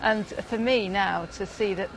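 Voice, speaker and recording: A woman's voice: mid-range voice, a little slow, loud music behind the voice, poor audio. A woman speaking enthusiastically in a documentary interview, often repeating words as she goes.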